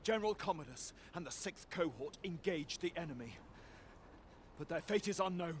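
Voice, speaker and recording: A man voicing a brisk Roman messenger officer. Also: a little high, lively intonation, slight background noise, close to an everyday voice. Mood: urgent. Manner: formal, brisk report. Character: dutiful male Roman officer delivering a report. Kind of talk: video game cutscene acting.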